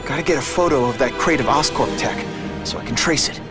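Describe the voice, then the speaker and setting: An actor playing a young superhero in a video game, talking to himself in quick, joking quips. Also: male voice, medium pitch, over loud music, lightly acted. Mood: determined. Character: wisecracking young male superhero. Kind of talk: video game cutscene dialogue.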